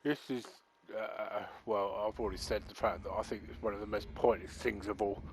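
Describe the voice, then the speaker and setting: A man giving casual, hesitant commentary over a game, critical and full of pauses. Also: on the low side, fairly even intonation. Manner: hesitant, critical, with fillers and sighs. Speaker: gaming commentator.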